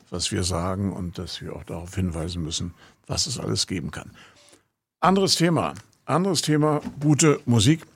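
A low, gravelly male voice, somewhat husky, talks casually as a radio host, wandering from one thought to the next before introducing a song. He grew up in Rostock. The sound is close and dry. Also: no echo. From Rostock, Germany. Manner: chatty, meandering, introducing music.

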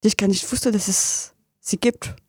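A woman's voice: medium pitch, steady tone, moderate pace, warm tone, slightly rough, dry recording. A young woman with a French accent, a news reader at a radio studio who speaks German as a learned language, reading the news and then chatting hesitantly. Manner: hesitant, searching for words, laughs easily.

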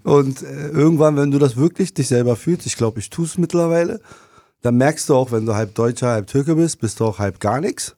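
Gravelly German man, emphatic talker. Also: medium pitch, moderate pace, warm tone, no echo, clean studio sound. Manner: emphatic, laughs while ranting. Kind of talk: casual discussion.

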